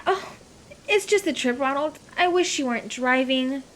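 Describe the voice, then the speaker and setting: Woman acting a high-voiced, worried mother. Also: lively intonation, moderate pace, slight background noise. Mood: tender, worried. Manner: anxious, fussing. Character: worried, doting mother of a grown son. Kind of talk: radio drama acting.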